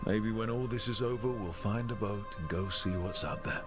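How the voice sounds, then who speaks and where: In an echoey space with music underneath, a man plays a calm male game hero with a lowish voice. He is supportive and asks things gently. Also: normal speed, lightly acted. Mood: calm, curious. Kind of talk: video game dialogue acting.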